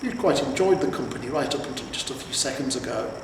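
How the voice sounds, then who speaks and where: A man reading a scripted story aloud in a measured storytelling way, with pauses. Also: medium pitch, animated. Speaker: amateur audiobook reader. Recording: roomy, echoing, distant mic with noise.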